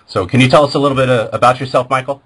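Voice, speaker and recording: A man's voice: medium pitch, fairly even intonation, racing, fairly bright tone, breathy edge. A man hosting an internet radio show, introducing an interview in an informal, unscripted way.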